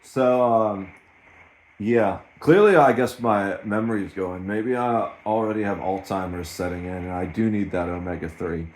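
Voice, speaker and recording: A man's voice: fairly low pitch, moderate pace, darkish tone, rough recording. A man in his thirties talking in a rambling, self-mocking way in a casual solo vlog. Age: 30s. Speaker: streamer.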